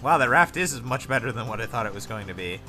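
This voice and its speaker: A man's voice: medium pitch, expressive, fast, bright, forceful tone, faint music behind. A man co-commentating on a video game, bantering casually with sarcastic wit.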